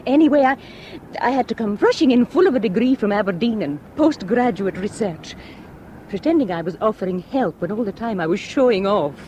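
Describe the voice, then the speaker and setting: A woman's voice: mid-range voice, animated, fairly quick, warm tone, lo-fi sound, slight background noise, close to an everyday voice. An actress playing an enthusiastic young woman from Shetland with a light Shetland Scots accent, a graduate who guides a visitor in a radio drama. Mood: earnest. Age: young adult. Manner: earnest, enthusiastic, self-deprecating. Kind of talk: 1960s radio drama acting.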